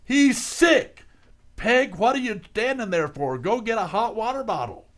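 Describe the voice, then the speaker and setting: An actor playing an excitable, bossy sitcom father in a melodramatic old-time radio comedy style. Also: high voice, measured pace. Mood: melodramatic alarm.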